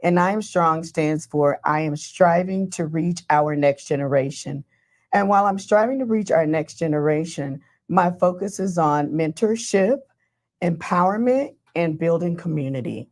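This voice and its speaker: An American woman appearing as a guest on a live-stream interview, first giving brief, agreeable replies and then a steady self-introduction. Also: fairly low pitch, unhurried. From United States. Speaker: guest, founder of a mentoring organization.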